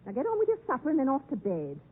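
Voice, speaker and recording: Shrill, scolding blacksmith's wife. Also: female voice, huge pitch swings, racing, heavy background noise. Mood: exasperated. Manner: scolding, exasperated, sharp-tongued. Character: shrewish scolding blacksmith's wife, harsh older sister. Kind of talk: 1950s radio drama acting. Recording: tinny 1950s radio broadcast.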